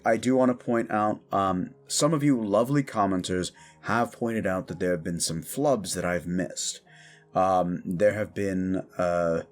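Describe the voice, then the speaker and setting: A man hosting his audio series, chatting informally and effusively to his listeners. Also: on the low side. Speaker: audio roleplay creator and host. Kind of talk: casual outro chat to listeners. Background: faint music.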